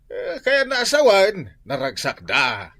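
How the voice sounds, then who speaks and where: A man's voice: sits high, huge pitch swings, takes it slowly, bright, forceful tone, dry recording, heavily acted. A man acting an exasperated older village father in an Ilocano radio comedy drama, with an Ilocano accent. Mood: scolding.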